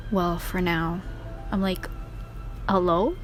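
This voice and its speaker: A woman's voice: lowish voice, steady tone, slow. A young woman streamer giving casual, slangy reaction commentary over gameplay. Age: young adult. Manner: slangy, giggly. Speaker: game streamer. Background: game music.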